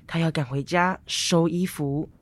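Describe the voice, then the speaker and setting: A man's voice: fairly high pitch, steady tone, measured pace, dry recording. A teenage boy reading scripted narration for a radio drama story.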